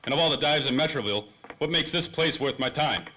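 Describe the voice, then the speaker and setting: A man's voice: fairly high pitch, fast talker, bright, forceful tone. A man playing a tough, wisecracking private detective with brash, slangy delivery in 1930s radio play style. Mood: brash, impatient.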